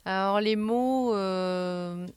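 A woman singer answering radio interview questions in a halting way, with many fillers and restarts. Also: mid-range voice, a little mellow, clean tone, no room sound. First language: French.

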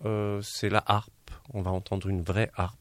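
A Moroccan-born man whose first language is Arabic, an opera baritone and director, answering radio interview questions with many hesitations and restarts. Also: little pitch movement, unhurried, no room sound. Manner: baritone singer who places his voice.

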